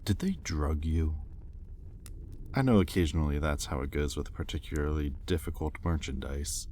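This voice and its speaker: A man playing an imperious, sardonic demon in an intimate audio roleplay, with a deliberate, menacing drawl and easily exasperated temper. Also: extremely low pitch, darkish tone, a little hiss or hum. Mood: irritated, impatient.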